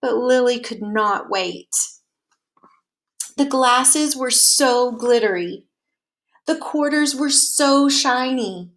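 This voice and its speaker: Female storyteller, slow pace, higher-pitched voice, emphatic picture-book read-aloud, expressive narration. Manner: deliberate, emphatic storytelling with drawn-out key words.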